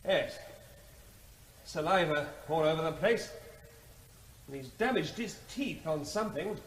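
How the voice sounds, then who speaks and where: An actor playing a brisk, curt veterinary officer who takes command in a radio drama. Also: male voice, a little high, animated, in a large hall, slight background noise, poor audio. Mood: businesslike.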